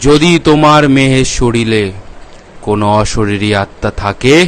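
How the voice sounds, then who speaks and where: A man's voice: medium pitch, a little hiss or hum, lightly acted. A man voicing a solemn, commanding village holy man and exorcist in a cartoon story, grave and portentous. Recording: music under the voice.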